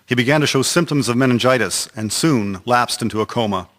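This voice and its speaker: A man's voice: medium pitch, fairly quick, fairly bright tone. A man narrating a historical radio drama from a script in a solemn, formal storytelling manner. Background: music.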